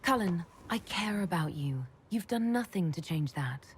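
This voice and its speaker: A woman playing a composed, caring mage who leads a fantasy order, speaking in a measured, sincere way in a dramatic video game. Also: on the low side, lively intonation, slight background noise, close to an everyday voice. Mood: caring, reassuring.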